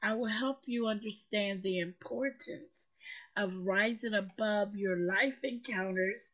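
A woman with a hoarse voice, still getting it back, preaches in a slow, deliberate way. She leans on her points and repeats them for weight. The recording sounds muffled and lo-fi. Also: mid-range voice, fairly bright tone. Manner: preachy, emphatic, repeats phrases for effect. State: hoarse, recovering lost voice. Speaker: motivational show host. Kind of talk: slow motivational talk.

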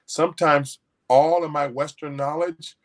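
A man's voice: fairly high pitch, steady tone, measured pace. A man, an author guest, telling a personal story in a folksy, anecdotal way with many restarts. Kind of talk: storytelling in an interview.

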